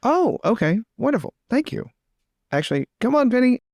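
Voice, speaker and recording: Chatty guy playing an rpg, creaky mid voice, really dry sound. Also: extremely high pitch, big pitch swings, moderate pace, darkish tone, dry recording. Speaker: tabletop roleplay player. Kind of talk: improvised play, switching between narration and dialogue.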